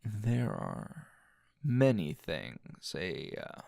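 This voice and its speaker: A man's voice: low voice, wildly swooping pitch, drawn-out words, gravelly, darkish tone, dry recording, clean studio sound, exaggerated voice. A man playing a teasing, dominant, seasoned anime hero as a tender lover in an intimate ASMR roleplay, murmuring breathily and slipping into whispers. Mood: teasing, commanding.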